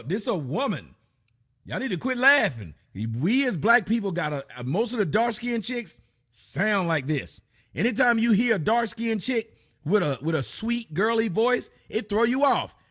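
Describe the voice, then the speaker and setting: A middle-aged man hosting a livestream from his home, giving loud, mocking commentary full of cursing on a video clip. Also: high-pitched, sing-song, moderate pace, slightly rough. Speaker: livestream host. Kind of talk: profane comedic commentary on a clip. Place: indoor home room.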